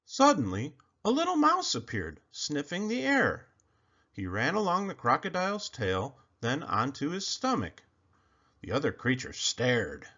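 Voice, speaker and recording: A man reading a children's picture book aloud in an expressive, animated storytelling manner. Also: a little high, measured pace. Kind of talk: children's read-aloud narration. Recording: slightly muffled recording.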